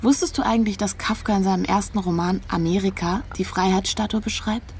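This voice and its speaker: A woman's voice: medium pitch, fairly even intonation, a little fast, darkish tone, a little hiss or hum, natural voice. An actress playing a searching, disillusioned young woman in a naturalistic radio drama, talking pensively. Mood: thoughtful.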